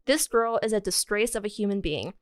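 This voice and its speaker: Podcast co-host: young American woman, crisp voice, dry close sound, casual storytelling with offhand asides. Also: mid-range voice, lively intonation, a little fast, no room sound. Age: young adult. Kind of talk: casual chat and reading out listener stories.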